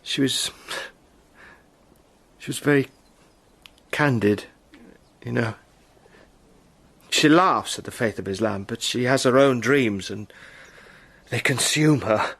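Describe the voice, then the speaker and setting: A man's voice: medium pitch, slow pace, pauses often, a bit bright. A man playing a haunted British adventurer caught up in a plot, speaking intensely and stammering under strain in a radio drama. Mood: troubled. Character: Sandy, haunted British adventurer in disguise, anguished.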